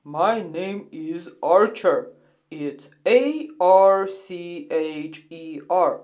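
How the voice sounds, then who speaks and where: An extremely slow speaker gives a name in a scripted lesson dialogue. They then spell it out one letter at a time, with long pauses between letters. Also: medium pitch, darkish tone. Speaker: quiz participant spelling a name.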